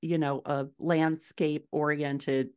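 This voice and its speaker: A middle-aged woman answering interview questions conversationally, telling stories in an animated way on a video call from a room at home. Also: sits low, takes it slowly, clean tone. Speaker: podcast guest, indie author. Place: small furnished room at home, indoors.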